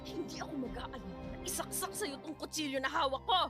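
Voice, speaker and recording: An actress playing an emotional, defiant and grieving widow in a radio drama. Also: female voice, sing-song, quick pace, music in the background. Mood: defiant, angry. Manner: emotional, high-strung. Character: defiant, grieving young widow confronting her husband's killer.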